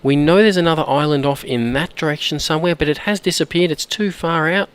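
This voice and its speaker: A man with a hoarse, croaky voice from a cold, chatting casually while he plays and often clearing his throat. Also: on the high side, a little fast. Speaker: gameplay commentator. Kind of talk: casual let's-play commentary.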